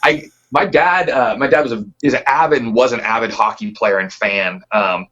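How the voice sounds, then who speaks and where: A man's voice: medium pitch, normal speed, bright, forceful tone, husky, lo-fi sound. A man from the Pacific Northwest, a band member, chatting as a guest in a loose, rambling, earnest way. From Pacific Northwest, near Tacoma. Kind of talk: casual interview chat.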